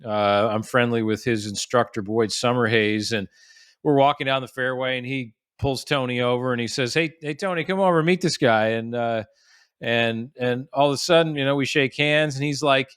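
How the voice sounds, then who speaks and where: Man, 40s, from the US, relaxed rambling guest storyteller, mid pitch, dry close mic. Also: normal speed, clean tone, dry recording. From United States. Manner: chatty storyteller with frequent restarts and fillers. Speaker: guest, golf professional. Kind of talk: casual podcast interview.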